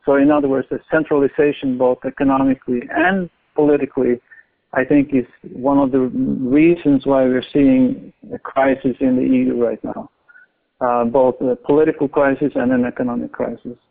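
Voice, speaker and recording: A man's voice: mid-range voice, moderate pace, gravelly. A man from Norway, an author being interviewed, speaking thoughtfully with many restarts and fillers. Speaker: author guest. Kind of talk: interview talk. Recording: tinny phone-line audio.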